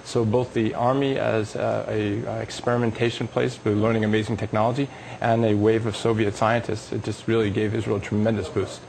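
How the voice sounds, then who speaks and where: A man's voice: on the low side, level tone, brisk, gravelly, a little mellow, a little hiss or hum, low-quality recording. A man being interviewed as a company executive on a live business TV show, explaining technology with emphatic enthusiasm.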